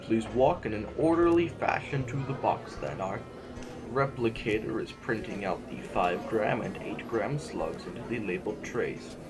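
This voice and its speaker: A man playing a stern, formal sovereign who presides over an assembly in measured oratory, in an audio drama. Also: fairly low pitch, animated, over music. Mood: formal, composed.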